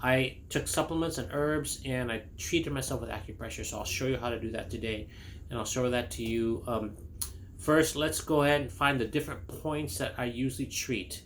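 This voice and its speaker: A man's voice: medium pitch, steady tone, normal speed, slight background noise. A middle-aged man from Indonesia, a chiropractor, giving a casual health talk to camera. He rambles with many fillers, restarts and personal stories.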